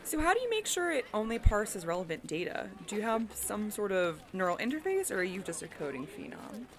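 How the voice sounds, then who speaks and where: A woman's voice: medium pitch, big pitch swings, a little fast, lightly acted. A woman playing an intelligent, curious, coy engineer in an audio drama, articulate and well-spoken. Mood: curious, probing.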